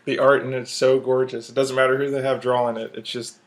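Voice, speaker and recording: A man's voice: mid-range voice, level tone, brisk. A young man co-hosting a podcast, chatting casually and enthusiastically, his voice worn from a cold. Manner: enthusiastic, blunt, laughs while talking. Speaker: podcast co-host. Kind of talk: casual chat.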